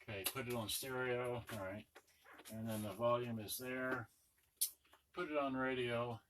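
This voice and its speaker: A man's voice: mid-range voice, steady tone, measured pace. A man giving a casual hands-on demonstration, muttering to himself as he works. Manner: muttering to himself while working. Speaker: electronics unboxing presenter. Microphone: camera on a tripod. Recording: noisy room recording with clicks and handling.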